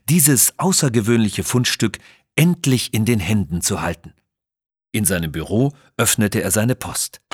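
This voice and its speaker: A man narrating a scripted audio adventure story in an expressive, dramatic storytelling manner. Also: lowish voice, breathy edge, no room sound, clean studio sound.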